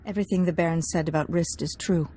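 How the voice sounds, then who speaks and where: An actress playing an earnest, coaxing noblewoman, gentle in manner, in a video game. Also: on the low side, fairly even intonation, quick pace, a little hiss or hum, close to an everyday voice. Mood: earnest, pleading. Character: earnest, seductive noblewoman, baron's discontented wife. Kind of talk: scripted video game acting.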